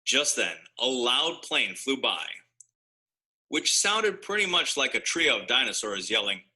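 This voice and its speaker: A man reads a children's story aloud with plenty of expression. His mid-pitched voice is very bright and forceful. He delivers it with a wry, mock-innocent air. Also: big pitch swings, a little slow, low-quality recording. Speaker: storybook narrator.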